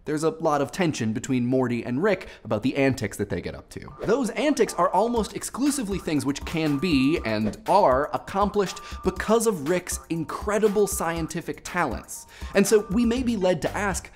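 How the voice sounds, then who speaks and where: An online video host narrating a scripted explainer with quick, wry asides. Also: mid-range voice, expressive, a little mellow, soft background music.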